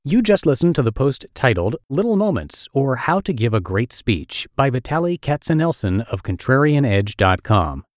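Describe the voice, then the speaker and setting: A man's voice: mid-range voice, big pitch swings, normal speed, hardly any pauses, dry recording, clean studio sound. A middle-aged man with a Russian accent reading his own essay aloud in a wry, self-deprecating storytelling manner. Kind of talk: read-aloud essay narration.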